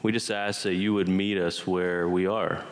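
A man leading a congregation in a slow, reverent spoken prayer, phrase by phrase. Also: sits low, level tone. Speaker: worship leader. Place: church sanctuary.

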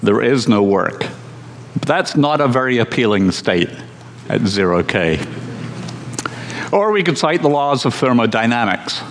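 A man preaching from the pulpit at a middling pitch, unhurried and conversational with touches of dry humor. His voice carries a long reverb from the church hall around him. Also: big pitch swings, slight background noise. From Scotland. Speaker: pastor. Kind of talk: sermon.

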